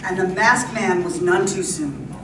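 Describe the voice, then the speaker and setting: A woman's voice: lowish voice, a little slow. A woman giving dramatic scripted narration for an old-time radio play, performed live on stage. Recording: roomy, distant, very noisy live stage recording.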